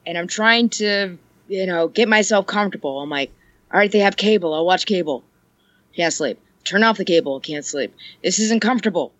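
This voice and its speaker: A woman's voice: lowish voice, lively intonation, normal speed, fairly bright tone, no room sound, lo-fi sound. A woman chatting casually on a podcast, rambling and joking, laughing often. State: ill. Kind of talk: casual chat.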